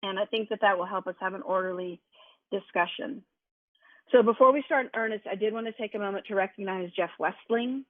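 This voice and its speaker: A woman chairs a formal committee meeting, her voice slightly hoarse from allergies. She runs things in an orderly way, setting ground rules one by one. The sound is a tinny, dry phone line. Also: mid-range voice, fairly quick, no echo. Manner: organized, sets ground rules. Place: remote conference call. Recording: tinny conference call line.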